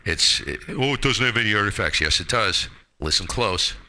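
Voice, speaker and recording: A man's voice: fairly low pitch, expressive, normal speed, bright, forceful tone. A man chatting casually on a ham radio net in a blunt, emphatic, slangy way, giving strong opinions. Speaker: amateur radio operator hosting a live stream.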